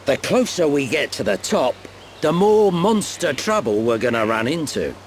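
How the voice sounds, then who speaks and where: An actor playing a gruff, worldly older adventurer and guide in a video game cutscene, with a knowing, grumbling manner. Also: male voice, a little high, sing-song, moderate pace, slight background noise. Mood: knowing, instructive.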